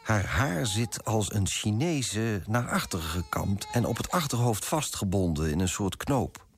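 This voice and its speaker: An actor playing a fussy, self-righteous coffee broker in a radio play, pompous and self-important, with a husky voice. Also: male voice, fairly low pitch, very animated, a little slow, faint music behind. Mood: curt, disapproving. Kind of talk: first-person radio drama narration and dialogue.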